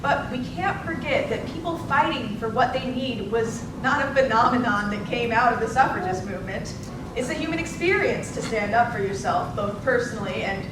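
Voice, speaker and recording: A woman's voice: fairly high pitch, normal speed. A woman acting as interviewer in a staged oral-history conversation at a live presentation. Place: indoor presentation space at a public library. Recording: very echoey, distant, noisy room recording.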